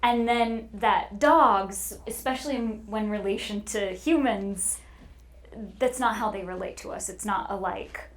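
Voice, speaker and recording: A woman's voice: mid-range voice, unhurried, slight background noise. A woman talking casually and expressively as a voice actor guest on a panel. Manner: animated, expressive.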